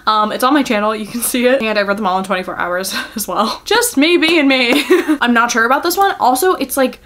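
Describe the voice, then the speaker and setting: A woman's voice: a little high, a little fast, a bit bright. A young adult woman chatting casually to viewers as a book vlogger, gushing and giggly, with lots of filler words. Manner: gushing, informal, filler-heavy with frequent "like".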